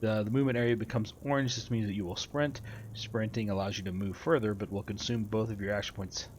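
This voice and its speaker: A man's voice: fairly low pitch, fairly even intonation, fast. A man hosting a gameplay video, casually commenting and reading tutorial text aloud. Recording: faint background noise, game ambience.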